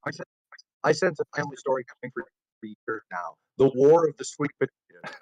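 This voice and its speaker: A man's voice: medium pitch, animated, brisk, darkish tone, low-quality recording. A man hosting a casual chat show in a playful, joking manner. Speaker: host.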